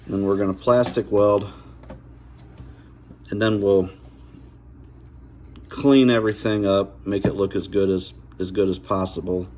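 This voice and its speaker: A man in middle age with a fairly low voice walks viewers through hands-on work. He talks in a folksy, informal way, working things out aloud. There is a light layer of noise behind him. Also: little pitch movement, unhurried, pauses often, darkish tone. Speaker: host of a DIY install video. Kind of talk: casual hands-on demonstration. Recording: noticeable background noise.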